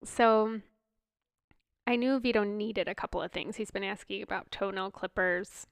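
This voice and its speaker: A woman's voice: mid-range voice, normal speed, clear, resonant tone, no room sound, studio quality. A woman with a stuffed-up nose, co-hosting a podcast in casual, giggly chat. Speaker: podcast co-host.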